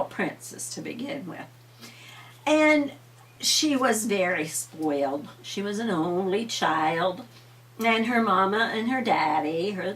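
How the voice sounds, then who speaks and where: A woman's voice: medium pitch, fairly bright tone, distant mic. An older woman, a grandmother, telling bedtime stories in a homely, dramatic way. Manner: homely, dramatic, repeats refrains with emphasis. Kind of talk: animated storytelling for children.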